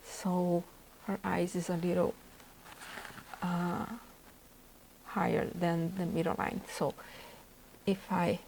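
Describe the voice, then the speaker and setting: A woman's voice: fairly low pitch, flat delivery, slow pace, gravelly, pauses often, a little mellow, a little hiss or hum, rough recording. A woman with a Brazilian Portuguese accent, a native Portuguese speaker, teaching a portrait drawing lesson and thinking aloud as she sketches. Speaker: art instructor. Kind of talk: drawing demonstration.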